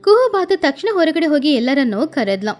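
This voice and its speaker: A woman's voice: sits high, very animated, brisk, a little mellow. A woman narrating a scripted children's story as the storyteller.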